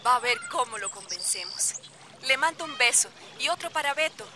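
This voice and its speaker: Lady acting a calming daughter, animated pitch, people noise behind, radio play. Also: brisk, a crowd in the background, lightly acted. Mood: reassuring. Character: soothing, reassuring grown daughter.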